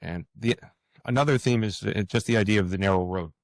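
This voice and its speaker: Older guy, deep voice, bit halting n repeats words, earnest interview guest, dry close sound. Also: dry recording. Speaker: guest author.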